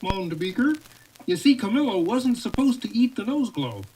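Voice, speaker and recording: A woman's voice: fairly high pitch, animated, normal speed, dark tone. A woman reading a children's storybook aloud in a playful way, with chatty asides to the child turning the pages. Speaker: storybook reader. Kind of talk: read-along story narration with casual asides. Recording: noisy home recording.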